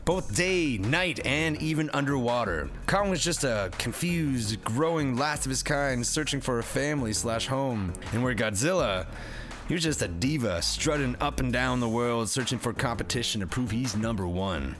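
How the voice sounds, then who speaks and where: Guy doing a jokey movie review, slangy and sweary, mid pitched voice, music behind. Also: lively intonation, moderate pace, fairly bright tone. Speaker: review show host. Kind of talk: comedic movie review, part scripted. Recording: music under the voice.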